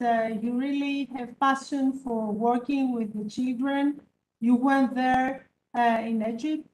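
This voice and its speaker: A woman moderating an academic award event, encouraging the speakers and using many fillers. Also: a little mellow. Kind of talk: hosting an academic award ceremony. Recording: echoey room with faint rumble.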